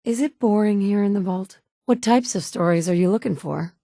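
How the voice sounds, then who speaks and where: A woman's voice: mid-range voice, moderate pace, dry recording, lightly acted. An actress voicing a tough, wry wasteland survivor woman in video game dialogue, talking curtly and dryly. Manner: curt and dry, shifting between blunt and gentle. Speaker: player character. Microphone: close studio mic.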